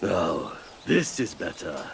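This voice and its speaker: Gravelly, slow old wandering mystic. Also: male voice, deep voice, big pitch swings, noisy background, full character voice. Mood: solemn. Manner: theatrical, archaic, slow and deliberate. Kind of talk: video game cutscene acting.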